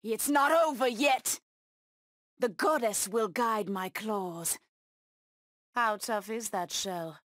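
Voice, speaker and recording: A woman's voice: mid-range voice, slow, long pauses, fairly bright tone, dry recording, clean studio sound. An actress voicing a proud, bloodthirsty cat-woman huntress villain in a video game, with menacing, taunting delivery. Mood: fierce, bloodthirsty.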